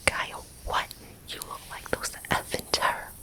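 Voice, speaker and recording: A woman's voice: a little slow, bright, forceful tone, reverberant. A woman whispering the lines of a rude, insulting male stand-up comedian in her own ASMR voice. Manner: whispered, barely changed from narrator.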